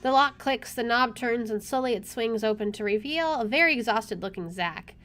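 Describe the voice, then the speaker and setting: A woman's voice: a little high, normal speed, a bit bright. A woman reading visual novel narration aloud as a game streamer, informally, with offhand asides. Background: game music.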